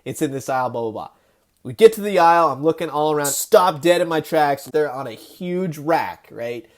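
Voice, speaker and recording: A man hosting a casual, enthusiastic toy review, telling it in an animated way with emphatic repeats. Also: fairly high pitch, moderate pace. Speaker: host of a toy collecting review show.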